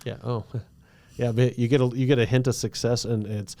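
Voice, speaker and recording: A man's voice: medium pitch, flat delivery, normal speed, warm tone, no room sound. Chatty male podcast host. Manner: chatty, laughs often, acts out quotes. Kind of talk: casual podcast chat.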